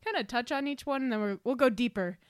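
A woman's voice: a little high, fast, smooth, no room sound, clean studio sound. A woman hosting a casual podcast interview in a playful, chatty way. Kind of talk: casual, joking interview chat.

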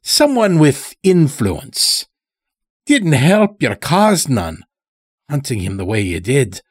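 A male narrator plays a stout old farmer in a story, sly and easygoing. He speaks in a folksy drawl, slow and husky, stretching his words. The pitch swings widely and the sound is close and dry. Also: huge pitch swings, no echo, heavily acted. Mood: knowing, drawling.

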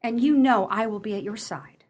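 A woman plays an arch, sceptical gentlewoman in a radio drama. Her mid-range voice is formal and genteel, delivering lines with dry wit. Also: mid-range voice, moderate pace, natural voice. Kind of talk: radio play acting.

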